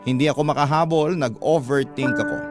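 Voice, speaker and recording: A man narrates a dramatic love story in the first person, radio storyteller style. His voice is mid-pitched, and music plays strongly behind him. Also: a little fast. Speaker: host and storyteller. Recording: music bed under the voice. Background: background music.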